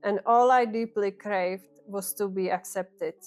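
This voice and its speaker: A woman in her thirties from the Czech Republic, with a Czech accent, calmly and earnestly telling her own story to camera. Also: medium pitch, measured pace. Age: 30s. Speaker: vlogger. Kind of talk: reflective personal storytelling.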